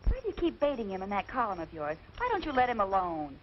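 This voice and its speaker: An actress playing a no-nonsense, dryly exasperated secretary with an American accent in a 1940s comedy. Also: female voice, mid-range voice, big pitch swings, fast talker, close to an everyday voice. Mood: sharp. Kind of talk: 1940s comedy film acting. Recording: muffled vintage film soundtrack, faint noise.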